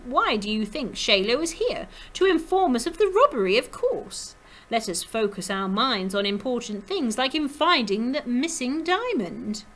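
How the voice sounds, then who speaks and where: A woman's voice: fairly high pitch, very animated, normal speed, a bit bright, pitched above the performer's own voice. A woman voicing a glamorous female rabbit with a French accent and a musical, sing-song manner in a storybook. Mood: airy, assured.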